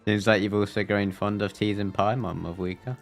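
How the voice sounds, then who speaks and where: A man's voice: lowish voice, little pitch movement, brisk, soft background music. A man live-streaming a game, reading dialogue aloud and reacting with playful banter.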